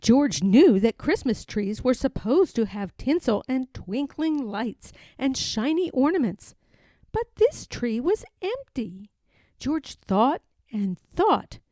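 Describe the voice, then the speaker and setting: A woman reading a children's picture book aloud in an expressive, sing-song way. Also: a little high, takes it slowly, no room sound, clean studio sound. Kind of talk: storybook read-aloud.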